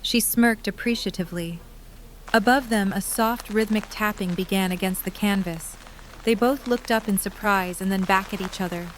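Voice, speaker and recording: A woman narrates a scripted story in a mid-range voice. She reads descriptive prose as the narrator of an audio drama. Rain is clearly heard behind her. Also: medium pitch, normal speed, a little hiss or hum, poor audio. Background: rain ambience.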